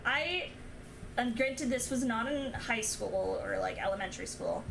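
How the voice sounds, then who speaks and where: A woman's voice: a little high, animated, a little slow, vocal fry, background noise. A young woman streamer casually chatting and telling stories while gaming, laughing often. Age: young adult. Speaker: streamer host.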